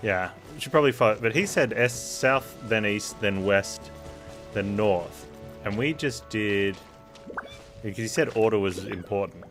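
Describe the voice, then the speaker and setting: A man's voice: lowish voice, a little slow, a bit bright. A man giving casual live gameplay commentary, rambling as he thinks aloud. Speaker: game streamer. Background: game music.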